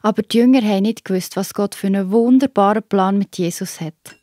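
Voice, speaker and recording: A woman's voice: mid-range voice, brisk, dark tone, dry recording, studio quality. A woman with a Swiss German accent narrating a scripted Bible story for children.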